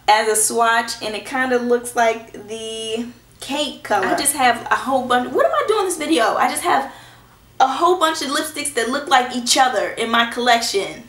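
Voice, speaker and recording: Brief: hoarse young woman, casual beauty vlog, chatty and reassuring, roomy camera sound. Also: fairly high pitch, a bit bright. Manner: chatty and reassuring, self-teasing. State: hoarse from a cold. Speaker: beauty vlogger. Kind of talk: casual product review. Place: small indoor room at home. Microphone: camera in front at close range. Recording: echoey room.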